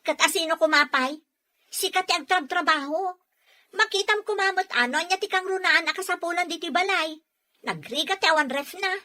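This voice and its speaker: Naggy unhappy wife, radio drama, high piercing voice, complains a lot. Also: female voice, normal speed. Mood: irritated. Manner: complaining, sharp-tongued. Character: nagging, discontented wife demanding household goods.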